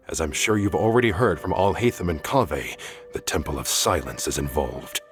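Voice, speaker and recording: A man voicing a dignified older scholar and master in a video game, measured, formal and solemn. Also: deep voice. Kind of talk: English video game dub acting.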